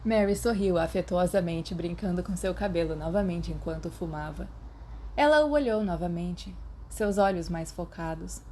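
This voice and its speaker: A woman with a Brazilian Portuguese accent narrating a fan fiction audiobook in a calm, even storytelling manner. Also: fairly low pitch, normal speed, a little hiss or hum. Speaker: podfic reader.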